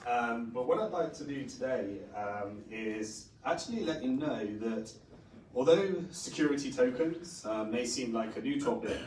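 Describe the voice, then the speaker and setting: A hoarse, middle-aged man speaks from a conference stage, pausing on fillers as he talks. He sits at an ordinary pitch, and his voice carries across a roomy hall, picked up from some distance with a faint hiss behind it. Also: animated, a little mellow. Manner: rambling with many fillers. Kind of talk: conference talk. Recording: echoey hall, distant mic, faint noise.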